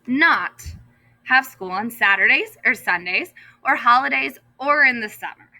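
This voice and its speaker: A woman's voice: fairly high pitch, lively intonation, unhurried, pushed and bright, heavily acted, rough recording. A woman voicing a proud, earnest male school principal from a storybook, speaking emphatically with relish. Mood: earnest, announcing. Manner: emphatic, declamatory, repeats words with relish.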